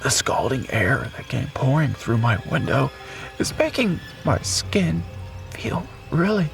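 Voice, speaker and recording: A man playing a doomed blast survivor recording his last words in an audio drama, raspy, halting and breathy. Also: mid-range voice, very animated, soft background music. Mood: shaken. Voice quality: raspy, coughing. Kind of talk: dramatic audio story monologue.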